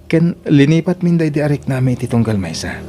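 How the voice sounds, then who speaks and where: An actor playing a respectful, earnest grown son in an audio drama, with an Ilocano accent. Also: male voice, fairly high pitch, sing-song, fairly quick, dark tone.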